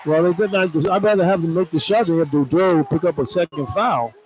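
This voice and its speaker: A man's voice: on the high side, a little fast, darkish tone, low-quality recording. An American man giving blunt, partisan, informal color commentary during a live radio basketball broadcast. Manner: blunt, partisan, indignant at officiating. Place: courtside in a basketball arena. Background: crowd and arena noise.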